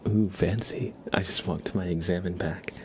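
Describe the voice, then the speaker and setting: A man hosting a casual ASMR livestream, chatting to viewers in a soft, breathy, whispery voice with an unhurried, rambling manner. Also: sits low, steady tone, a little hiss or hum. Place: room at home with a window.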